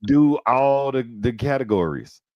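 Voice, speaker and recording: A man hosting a podcast, speaking with careful, articulate diction and playful animated asides. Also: medium pitch, measured pace, lo-fi sound. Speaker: podcast host. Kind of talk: casual interview chat.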